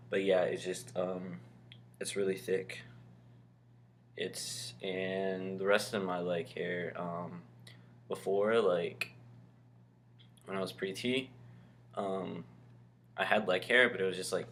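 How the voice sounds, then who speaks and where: A man's voice: deep voice, fairly bright tone, a little hiss or hum. A young man talking casually to his camera in a vlog, rambling with lots of ums and likes. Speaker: vlogger.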